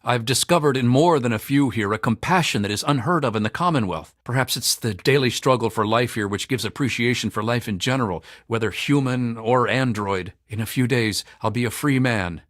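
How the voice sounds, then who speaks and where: Actor as a reflective android, dry close sound. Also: male voice, mid-range voice, a bit bright, dry recording, lightly acted. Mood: grateful, hopeful. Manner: thoughtful, measured, formal. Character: grateful, reflective runaway android man. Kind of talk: video game audio log acting.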